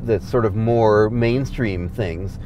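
A man's voice: on the low side, takes it slowly, noisy background. Earnest man talking in a car. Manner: earnest, explanatory, laughs easily. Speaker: guest, comedy program founder. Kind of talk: conversational interview. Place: inside a moving car.

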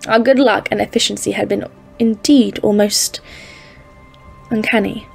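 A woman's voice: mid-range voice, fairly even intonation, unhurried, gravelly, darkish tone, slightly rough, soft background music, poor audio. A woman playing a composed expedition leader, narrating a polar journal in a scripted audio drama. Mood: measured recounting. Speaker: narrator and lead character.

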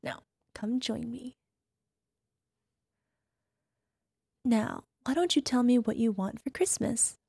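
Woman acting as playful affectionate wife, ASMR roleplay, flirty, breathy asides, touch of fry, close dry sound. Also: on the high side, moderate pace, dark tone, no echo. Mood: flirty, tender. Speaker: voice actor.

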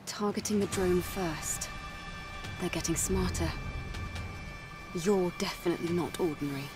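A woman voicing a calm, stoic young female warrior in a video game, with terse, understated delivery. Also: fairly low pitch, moderate pace, music in the background, close to an everyday voice. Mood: cold focus. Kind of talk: restrained video game voice acting.

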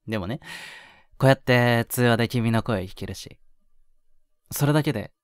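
A man voicing an affectionate, clingy young boyfriend in an intimate audio drama, murmuring softly to the listener with breathy chuckles. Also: medium pitch, measured pace, no echo, studio quality, natural voice. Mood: wistful, tender. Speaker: voice actor.

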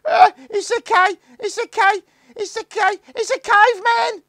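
Little kid in a storybook screaming and wailing, squeaky high. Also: male voice, fairly even intonation, unhurried, creaky, sharp tone, dry recording, clean studio sound, exaggerated voice. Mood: terrified. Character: youngest Snargit, small frightened boy.

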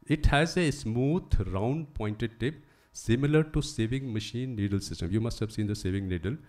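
A middle-aged man giving a technical talk at a conference, explaining at a podium microphone and pausing often with "uh". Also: mid-range voice, darkish tone. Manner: explanatory, reading from slides with frequent "uh" pauses. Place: conference hall, standing at a podium.

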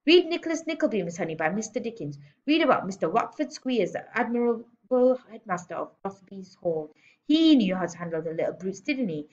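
Storybook bully headmistress, acted by a woman reader: loud, scolding, sneering, domineering; slightly dull recording. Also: medium pitch, sing-song, brisk, lightly acted. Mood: scornful. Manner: barking, scolding, sneering insults. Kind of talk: children's storybook read-aloud.

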